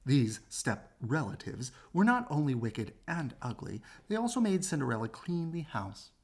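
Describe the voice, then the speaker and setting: A woman reading a children's story aloud in an animated, husky narrating voice. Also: low voice, normal speed. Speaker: storybook reader.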